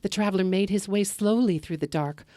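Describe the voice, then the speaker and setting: A woman telling a story live, narrating in an expressive, measured way with dramatic delivery. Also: medium pitch, dry recording.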